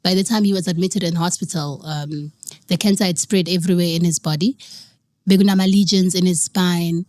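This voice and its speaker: A woman's voice: on the low side, fairly even intonation, brisk, darkish tone, no room sound, studio quality. A young South African woman telling a personal story in an interview, earnest, slipping Zulu phrases into her English. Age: young adult. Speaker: guest.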